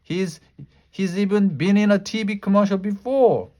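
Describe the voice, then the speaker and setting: Man playing a shrill, whiny bossy little girl. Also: sing-song, moderate pace, close to an everyday voice. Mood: dreamy. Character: bossy dramatic little schoolgirl.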